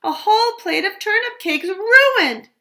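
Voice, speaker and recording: A clumsy, unlucky little girl, as a woman puts her on in a read-aloud storybook, squealing in a very high, sharp voice. She bursts out in loud, excited exclamations. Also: unhurried, exaggerated voice. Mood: dismayed.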